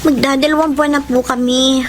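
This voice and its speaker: A woman acting a high-voiced sweet young Filipina. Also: little pitch movement, fairly quick, slight background noise, lightly acted. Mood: nervous pleading. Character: sweet young woman in love, anxious girlfriend and daughter. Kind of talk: Filipino radio drama acting with letter-style narration. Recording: music under the voice.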